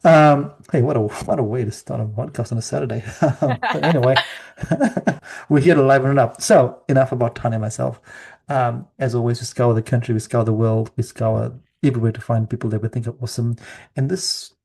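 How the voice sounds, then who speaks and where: Husky, low-creaking New male podcast host. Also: steady tone, fairly quick, a little mellow. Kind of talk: casual podcast conversation.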